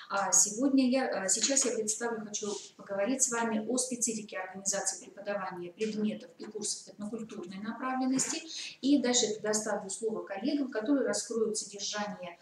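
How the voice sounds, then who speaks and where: Hesitant woman lecturing in an echoey room. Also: mid-range voice. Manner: hesitant, frequent "uh" fillers. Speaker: seminar speaker. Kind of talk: formal lecture. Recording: echoey room, mic far off, faint noise.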